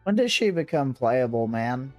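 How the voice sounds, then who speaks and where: A man's voice: mid-range voice, big pitch swings, normal speed, darkish tone, faint music behind. A man giving casual, offhand reactions as he streams a game, in short informal asides.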